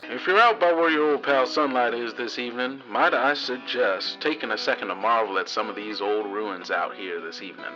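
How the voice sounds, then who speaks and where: A man's voice: mid-range voice, a little fast, few pauses, lo-fi sound, natural voice. Man playing a folksy radio host over guitar music. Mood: wistful, folksy. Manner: folksy, easygoing, drawling storyteller phrasing. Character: folksy post-apocalyptic radio host, wry, fatherly old pal. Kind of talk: in-character radio broadcast monologue. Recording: thin band-limited radio sound under music.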